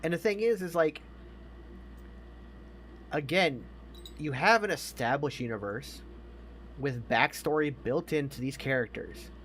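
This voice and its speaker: A man's voice: a little high, unhurried, long pauses, a bit bright, a little hiss or hum. A man chatting casually about movies as a podcast co-host, emphatic, opinionated and given to swearing.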